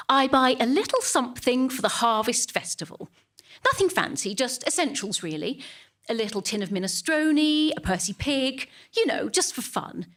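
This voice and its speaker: A woman's voice: a little high, a bit bright. A woman playing a smug, chatty middle-class English churchgoing mother in a comic monologue, prim and gossipy, with an English accent. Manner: prim, gossipy, self-justifying asides.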